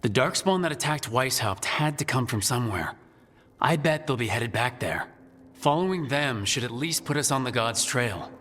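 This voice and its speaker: An actor playing a pragmatic male team leader in a video game, measured and reassuring. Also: mid-range voice, lively intonation, a bit bright. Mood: resolute. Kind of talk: video game dialogue acting. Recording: long hall reverb, music underneath.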